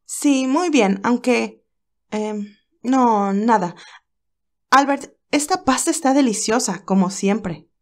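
A woman voicing a cheerful but anxious young nurse who talks in a lively, hesitant way. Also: a little high, slow pace. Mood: worried.

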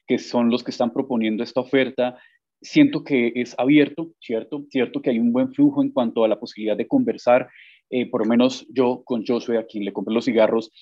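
A man's voice: mid-range voice, steady tone, fairly quick, warm tone. Man asking long winding questions, dull webcam sound. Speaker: interviewer host. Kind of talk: casual interview on a video call. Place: small room at home. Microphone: webcam with earphones.

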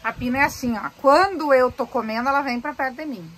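A woman's voice: high-pitched, quick pace. A woman, chatting to camera in a boat cabin. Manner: chatty, animated, gestures while talking. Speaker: vlogger. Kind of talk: casual chat to camera. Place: small cabin of a sailboat, indoors. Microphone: handheld phone camera at arm's length.

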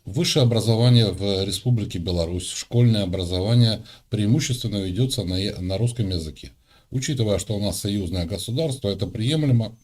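Low-voiced guy, earnest, keeps pausing with uhs while he explains. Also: a little mellow. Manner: earnest, hesitant, many "uh" fillers. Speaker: debater. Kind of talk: casual argument.

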